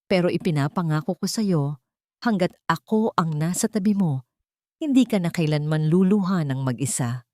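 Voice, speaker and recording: A woman narrator plays a devoted, solemn Filipino man in an audio romance. He speaks softly and earnestly, in a lowish voice on a dry recording. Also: moderate pace, no room sound, lightly acted. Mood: tender, earnest. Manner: soft-spoken, earnest.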